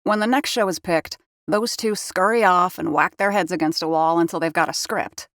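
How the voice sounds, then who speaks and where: A wry, teasing colleague. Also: female voice, on the low side, moderate pace, fairly bright tone, dry recording, clean studio sound. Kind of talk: audio drama acting.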